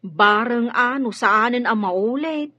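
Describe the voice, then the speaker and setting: An actress playing a gossipy, opinionated household helper in a radio drama, with a husky voice. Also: female voice, on the high side, takes it slowly, sharp tone, dry recording, heavily acted. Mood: disapproving. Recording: muffled lo-fi radio drama with music.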